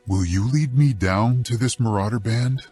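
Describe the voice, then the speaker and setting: An actor playing a fair-minded, commanding Israelite leader, speaking with earnest authority in a dramatized scripture recording. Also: male voice, mid-range voice, normal speed, warm tone, slightly rough, soft background music, close to an everyday voice. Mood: questioning. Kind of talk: dramatized scripture audio acting.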